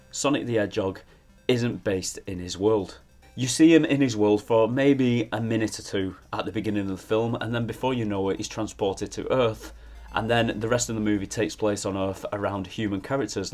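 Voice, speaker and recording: A man presenting scripted opinion commentary as a film reviewer, measured but emphatic. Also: lowish voice. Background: background music.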